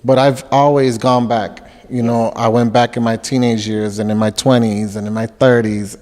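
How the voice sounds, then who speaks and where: A man in his forties from Puerto Rico, whose first language is Spanish, speaking softly and thoughtfully as a guest in an interview. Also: mid-range voice, little pitch movement, moderate pace. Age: 40s. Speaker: guest, film director and author. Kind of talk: casual interview talk. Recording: echoey room with long reverb.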